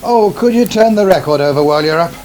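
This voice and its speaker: An actor playing a sceptical, preoccupied farming husband in a naturalistic radio soap, distracted and dismissive. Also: male voice, on the high side, lively intonation, fast talker, a little mellow, close to an everyday voice. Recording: noisy 1980s radio broadcast.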